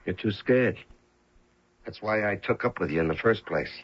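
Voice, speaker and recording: A male actor playing a cold, domineering professional killer in an old-time radio drama, curt and blunt, with a gravelly, husky voice. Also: lowish voice, moderate pace, lightly acted. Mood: dismissive. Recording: dull, lo-fi radio drama recording.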